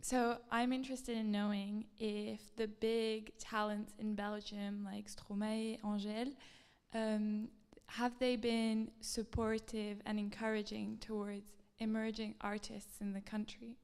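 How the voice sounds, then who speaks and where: A woman in the audience asking a guest a question in a measured, polite way. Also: medium pitch, level tone, a little mellow, clear, resonant tone. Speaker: audience member.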